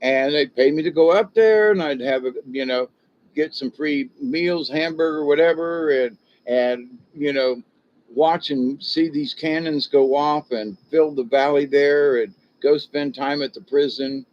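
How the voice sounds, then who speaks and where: A man's voice: a little high, a little slow. An older man, born in Ohio and raised in the South, speaks with a slight Southern drawl. He hosts a rambling, folksy livestream chat, chuckling at his own jokes and talking back to viewers. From born in Ohio, raised in Florida and South Georgia. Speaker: livestream host chatting with viewers. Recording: dull lo-fi audio.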